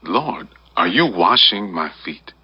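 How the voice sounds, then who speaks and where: An actor playing an earnest, headstrong male disciple with a husky, rough voice in a dramatized audio Bible. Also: low voice, big pitch swings, slow pace, no room sound, heavily acted. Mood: incredulous. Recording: dull, band-limited audio with faint music. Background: faint music.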